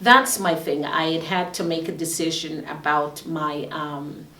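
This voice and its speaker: A middle-aged woman host with a fairly low voice talks earnestly about herself and urges listeners to follow their dreams. Her voice is heard from a distance in a roomy, echoing space. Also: steady tone, measured pace, bright, forceful tone. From Guyana. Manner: earnest, motivational advice-giving. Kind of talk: casual self-introduction. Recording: roomy sound from a distant mic.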